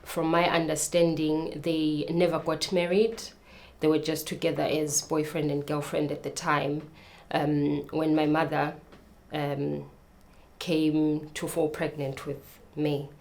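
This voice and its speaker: A woman in her thirties from Zimbabwe, telling her own story as a guest and making a personal appeal, speaking carefully with many pauses and ums. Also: sits low, level tone, a little mellow. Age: 30s.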